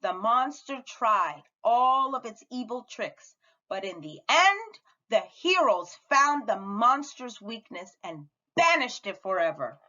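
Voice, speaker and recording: A woman reading a children's story aloud with dramatic, deliberate expression. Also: a little high, big pitch swings, pushed and bright, dull audio. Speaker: storybook reader at a school read-aloud.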